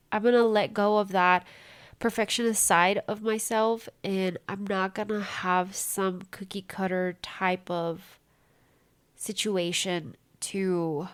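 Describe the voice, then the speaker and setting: A woman's voice: mid-range voice, clear, resonant tone. A young woman with a congested voice, rambling through a casual diary-style podcast from a small room at home. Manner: rambling, chatty, self-interrupting. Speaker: podcast host.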